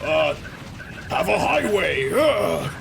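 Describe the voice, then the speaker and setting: A man's voice: high voice, big pitch swings, slow, creaky, noisy background. A man chatting casually as a co-commentator on a game, wry and musing. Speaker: let's play co-commentator. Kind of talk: casual chat over gameplay. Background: game music.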